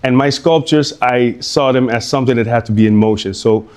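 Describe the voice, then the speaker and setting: Middle-aged man, artist speaking to a live audience; loose, meandering delivery with frequent ums and restarts, average pitch. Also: a little fast, a little mellow. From Curaçao. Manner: rambling, hesitant, many fillers and restarts. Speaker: artist giving a talk. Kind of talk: informal artist talk to an audience.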